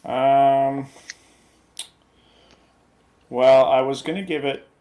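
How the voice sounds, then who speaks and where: A man giving his opinion in a casual film review chat, hesitant and measured as he weighs his verdict. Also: mid-range voice, fairly even intonation, mellow. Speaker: guest movie reviewer.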